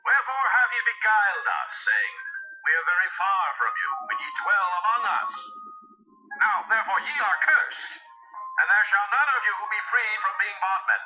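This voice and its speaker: A man playing a stern, commanding ancient war leader in a dramatized scripture reading, forceful and declamatory. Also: high-pitched, animated, normal speed. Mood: angry, accusing. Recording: muffled audio with music underneath.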